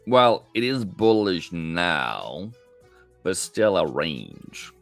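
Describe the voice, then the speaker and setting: A low-voiced man with a gravelly edge hosts a live trading stream. He talks in a lively, up-and-down way and chats casually with viewers, calling them mate. Faint music plays behind him. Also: drawn-out words. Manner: casual, chatty, answers viewers directly, calls them mate. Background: faint background music.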